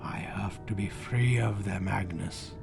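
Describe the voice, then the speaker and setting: An actor playing a coldly calm, brooding murderous husband in a 1940s radio drama, speaking in a deliberate, hushed, menacing way. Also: male voice, low-pitched, vocal fry, exaggerated voice. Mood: hushed, resolute. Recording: old 1940s radio recording, loud music.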